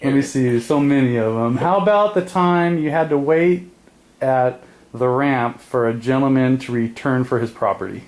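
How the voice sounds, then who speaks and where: A man behind the camera casually chatting and prompting his friend for a story, relaxed and easygoing. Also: medium pitch, moderate pace, low-quality recording. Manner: relaxed, prompting, laughs easily. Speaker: video host behind the camera. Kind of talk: casual chat.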